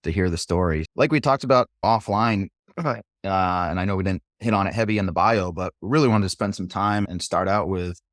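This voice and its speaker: A man's voice: low voice, moderate pace, no room sound, clean studio sound. A man hosting an interview podcast, reading the guest's bio and then chatting in an upbeat, encouraging way. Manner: upbeat, encouraging, casual fillers. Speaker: podcast host.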